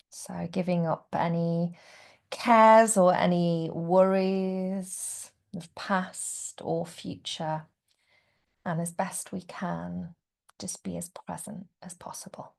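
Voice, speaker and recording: Female meditation guide, lowish gentle voice with heavy fry, hushed at phrase ends. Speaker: yoga nidra teacher guiding relaxation.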